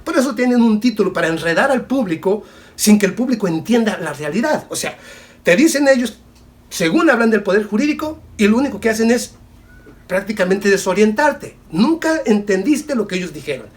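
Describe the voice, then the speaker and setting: A man's voice: high-pitched, sing-song, fairly quick. A man from Mexico giving solo video commentary, talking emphatically and straight at the viewer, with rhetorical questions and homely examples. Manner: emphatic, repetitive, addresses the viewer directly. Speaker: political commentator.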